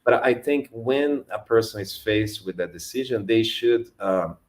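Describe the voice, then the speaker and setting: Guest: middle-aged man, Brazilian accent, Portuguese native, lowish voice, easygoing and reflective. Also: a little slow. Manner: reflective, easygoing, self-deprecating. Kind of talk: conversational career talk on a webinar.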